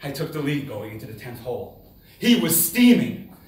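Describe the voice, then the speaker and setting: A man giving a rehearsed, humorous storytelling speech in a theatrical manner, with dramatic pauses and exclamations. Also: mid-range voice, big pitch swings, darkish tone. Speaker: contest speaker. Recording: very echoey room, distant microphone.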